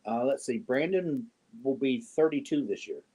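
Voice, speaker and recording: Fifty-something guy hosting a yarn stream, mellow warm voice, chatty, clears his throat a lot. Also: mid-range voice, animated, normal speed. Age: 50s. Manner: chatty and joking, often clears throat and chuckles. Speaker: yarn channel host. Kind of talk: casual livestream chat answering viewer comments.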